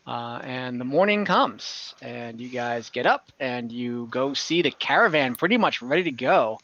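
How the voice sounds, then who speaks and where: A man narrating an online tabletop game as game master, often pausing mid-sentence as he describes scenes. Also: medium pitch, lively intonation, bright, forceful tone. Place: indoor room, online call. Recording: dull, roomy home recording.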